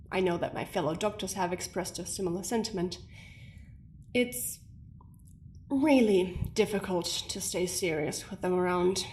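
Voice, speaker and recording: A woman's voice: medium pitch, a little mellow, a little hiss or hum, natural voice. Woman acting a stern, dry lab scientist. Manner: formal, measured, dry. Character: stern, clinical woman scientist, dryly exasperated. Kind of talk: audio drama scientific log.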